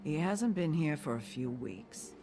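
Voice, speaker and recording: A guarded woman tending bar in a cinematic video game. Her voice is low and very animated in pitch, curt and defiant as she deflects. Music sits behind her. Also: a little slow. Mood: evasive, flustered. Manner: curt, evasive.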